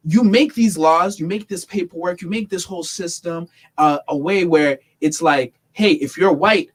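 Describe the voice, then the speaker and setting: Podcast host, young man, fairly high-pitched, talks in animated bursts and repeats himself to drive points home. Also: husky. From United States. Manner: animated, emphatic, repeats words while building points. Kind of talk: casual podcast discussion.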